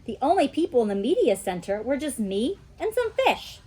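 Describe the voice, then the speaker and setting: A woman reads a children's story aloud as a teacher would. Her delivery is expressive, with animated pitch movement and a slightly husky voice. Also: normal speed.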